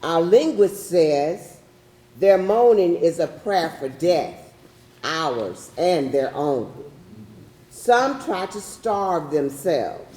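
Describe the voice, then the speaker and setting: Woman acting fearful sailor keeping a log, solemn stage recital, slow swooping delivery, roomy echo. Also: lowish voice, sing-song, mellow, heavily acted. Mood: grim. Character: fearful, haunted slave-ship sailor writing a log at sea. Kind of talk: solemn dramatic verse recital, readers theatre. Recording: roomy echoing live stage recording.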